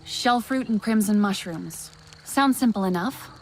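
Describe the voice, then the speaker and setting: An actress playing an earnest, caring young alien heroine in a video game, with a gentle and direct way of talking. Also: female voice, mid-range voice, unhurried, a little hiss or hum, close to an everyday voice, poor audio. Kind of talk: video game character acting.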